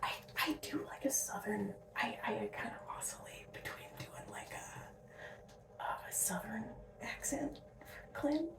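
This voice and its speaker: A sleep-deprived young woman from Alberta, rambling in a soft whisper with asides and little laughs as she does her drag makeup. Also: on the high side, animated, slow, creaky. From Alberta, Canada. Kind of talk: casual whispered makeup tutorial. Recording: roomy, mic set at a distance.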